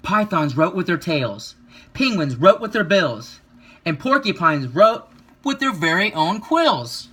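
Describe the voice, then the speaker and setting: A man reads a children's story aloud in a fairly high, bright and forward voice. He follows the rhythm of the verse expressively, leaning on key words and stretching them out. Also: very animated, measured pace, slightly rough. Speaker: reader of a children's picture book.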